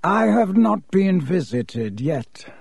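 A man voicing a cold, haughty aristocratic wizard father who speaks slowly and disdainfully. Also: sits high, big pitch swings, gravelly, a little mellow, breathy edge, no echo, voice pushed up, exaggerated voice. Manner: slow, clipped and disdainful.

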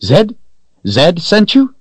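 Wary young-hero type, acted by a male reader, questioning, urgent, animated pitch, dry close sound, storybook. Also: slow, slightly rough, no room sound, full character voice. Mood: eager. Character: wary, inquisitive man, fantasy hero. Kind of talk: audiobook character voice.

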